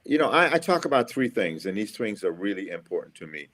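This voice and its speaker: Upbeat, motivational man, low voice. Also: big pitch swings, quick pace, fairly bright tone. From USA, Florida. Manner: upbeat, motivational, repeats key phrases. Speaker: interview guest, engineer and school speaker. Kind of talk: casual interview answers.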